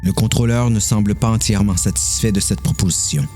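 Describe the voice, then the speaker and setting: A man narrates a suspense story from a script. His delivery is dramatic, over soft background music. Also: fairly low pitch, fairly quick.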